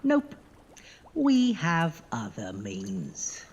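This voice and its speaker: A man's voice: on the high side, wildly swooping pitch, drawn-out words, darkish tone, muffled recording, heavily acted. A man playing a sly, whiny lab assistant who bargains stubbornly and cracks wise in a comic horror audio drama. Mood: stubborn, wheedling. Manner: wheedling, petulant, wisecracking. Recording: reverberant, noisy audio drama mix.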